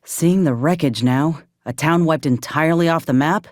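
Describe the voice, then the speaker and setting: A woman's voice: deep voice, normal speed, darkish tone, no room sound, studio quality, close to an everyday voice. A woman playing an earnest, loyal frontier soldier in a video game, speaking plainly and with measure. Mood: relieved, sober. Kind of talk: video game companion dialogue.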